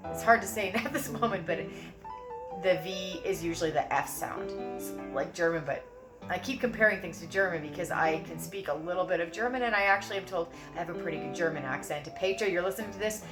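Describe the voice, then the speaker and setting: A woman's voice: on the low side, fairly quick, over music. A Canadian woman giving an informal accent tutorial, rambling as she sounds out words and exaggerates vowels. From Saskatchewan, Canada. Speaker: amateur accent tutor, actress.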